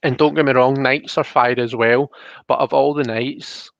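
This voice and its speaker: A man hosting a live card reading, talking casually and explaining as he thinks aloud, with halting phrasing. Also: medium pitch, fairly even intonation, vocal fry, no echo, lo-fi sound. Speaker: host of a live tarot reading.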